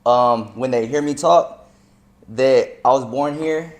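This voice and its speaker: A man's voice: mid-range voice, takes it slowly, a little mellow. Slangy young man in casual chat. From United States (North Carolina). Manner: loose slangy talk with frequent fillers. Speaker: guest musician.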